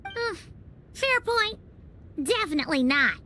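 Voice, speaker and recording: A woman voicing a tiny, cheeky, childlike floating companion in a video game, with a squeaky, chatty delivery. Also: sing-song, a little slow, bright, forceful tone, slight background noise, heavily acted. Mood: chatty, teasing.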